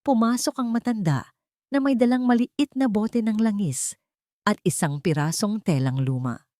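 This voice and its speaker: A woman's voice: mid-range voice, normal speed, warm tone, no room sound, clean studio sound. A woman with a Filipino accent reading a scripted story aloud as a dramatic audiobook narrator.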